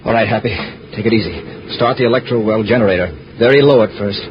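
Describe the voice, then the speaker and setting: An American man playing a steady, authoritative space patrol commander in a 1950s radio adventure, with a crisp commanding manner. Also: fairly low pitch, fast talker, lightly acted. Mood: focused, commanding. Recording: noisy, muffled vintage radio recording.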